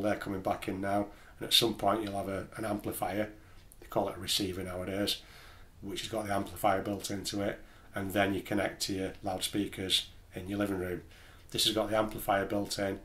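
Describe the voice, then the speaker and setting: A man's voice: lowish voice, a bit bright. A man casually explaining gear to camera as host, in a plain-spoken, careful way. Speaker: host of a home studio gear video channel.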